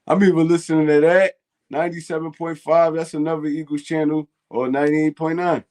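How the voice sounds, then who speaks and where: A man's voice: fairly high pitch, level tone, brisk, darkish tone. A man, co-hosting a fan call-in show in casual, slangy chat and laughing often. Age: 20s.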